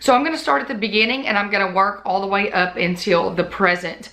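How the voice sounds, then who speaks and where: A woman's voice: medium pitch, steady tone, fast talker, pushed and bright, poor audio. A woman vlogger chatting off the cuff about her budget in long, run-on stories, her voice still a little worn from recovering. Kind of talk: casual off-the-cuff chat to camera.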